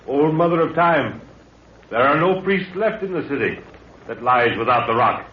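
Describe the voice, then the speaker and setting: An actor playing a steady, authoritative middle-aged expedition leader and father in a 1930s radio adventure, speaking formally. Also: medium pitch, normal speed, lightly acted. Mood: firm, composed. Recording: tinny, faintly hissy old radio recording.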